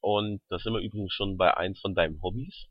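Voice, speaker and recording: A man from southern Germany hosting a podcast, asking his guest questions in a relaxed way. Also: low voice, brisk, no room sound. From southern Germany near Salzburg. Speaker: podcast host. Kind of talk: casual podcast interview. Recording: dry, slightly dull sound.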